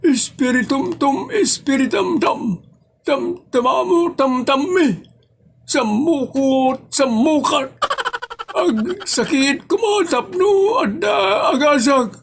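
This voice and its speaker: A man's voice: very high voice, animated, slow, gravelly, a little hiss or hum, heavily acted. A man voicing a bombastic old quack faith healer with an Ilocano accent, chanting theatrically in a comedy audio drama.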